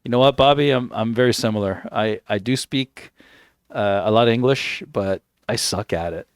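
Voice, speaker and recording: A man's voice: fairly low pitch, normal speed, clean tone, no room sound, studio quality. A man from Canada, whose first language is Italian, chatting casually on a game stream while he plays. He rambles and corrects himself often. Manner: rambling, self-correcting storyteller. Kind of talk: casual chat while gaming.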